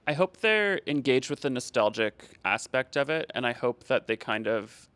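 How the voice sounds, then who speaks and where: Halting, hedging man artist. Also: medium pitch, lively intonation, a bit bright, no room sound. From Canada. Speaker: visiting artist. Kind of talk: casual interview answers.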